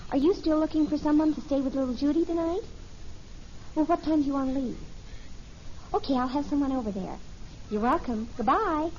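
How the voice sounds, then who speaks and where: Woman playing high-voiced, earnest teenage girl. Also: brisk, pauses often, darkish tone, lightly acted. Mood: eager, polite. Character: earnest, eager teenage daughter organizing things. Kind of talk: 1950s radio sitcom acting. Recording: muffled, noisy old radio recording.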